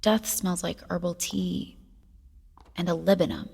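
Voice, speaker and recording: A woman's voice: lowish voice, steady tone, measured pace, gravelly, a little mellow, breathy edge, heavily acted. A woman playing a troubled, inquisitive young noblewoman and grieving daughter in an audio drama, speaking pensively. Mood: brooding.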